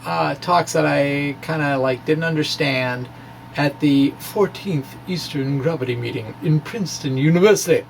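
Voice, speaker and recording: A man giving an informal, self-deprecating technical ramble in a hesitant way, with animated asides. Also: fairly high pitch, normal speed, gravelly, a little hiss or hum. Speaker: physics blogger presenting his own work. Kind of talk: informal technical ramble, reading of own blog.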